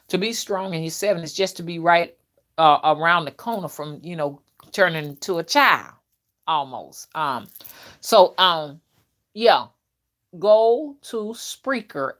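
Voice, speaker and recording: A woman's voice: fairly low pitch, a bit bright. Woman in her fifties, chatting casually. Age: early fifties. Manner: conversational, addresses viewers directly, often checks in with "okay" and "you know". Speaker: livestream host and content creator. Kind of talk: casual livestream chat with viewers.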